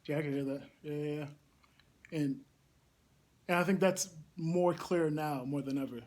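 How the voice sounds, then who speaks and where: A young man from Barbados, a campus ministry coordinator, speaking thoughtfully in a casual interview with many pauses and ums. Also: a little high, fairly even intonation, darkish tone.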